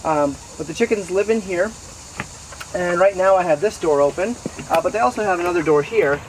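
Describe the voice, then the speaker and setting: A man in his thirties giving a casual, explanatory walking tour to camera, pausing now and then mid-sentence. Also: high voice. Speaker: host giving a garden tour. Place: outdoors in a wooded backyard garden. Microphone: camera mic several meters away. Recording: distant camera mic, noisy outdoor ambience. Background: insects and outdoor noise.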